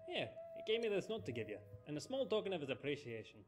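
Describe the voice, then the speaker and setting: Man acting an animated, eager fantasy messenger servant. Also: fairly high pitch, fast talker. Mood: earnest, courteous. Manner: formal, courteous, earnest storybook phrasing. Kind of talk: fantasy video game voice acting. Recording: game music behind the voice.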